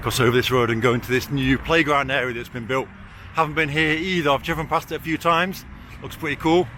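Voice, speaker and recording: A man's voice: mid-range voice, fairly even intonation, brisk, sharp tone. A man of forty with a weak R, chatting casually as he vlogs, blunt and self-deprecating with easy swearing. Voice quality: cannot roll or pronounce R clearly. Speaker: vlogger. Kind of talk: casual walk-and-talk vlog. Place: outdoors on city streets. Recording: outdoor city and traffic noise.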